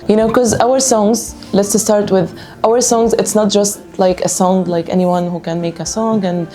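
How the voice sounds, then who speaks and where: A woman from Gaza with a Palestinian Arabic accent, a singer answering interview questions in earnest, halting English, often repeating words as she restarts. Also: mid-range voice, steady tone, warm tone, soft background music. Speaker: singer of a music band.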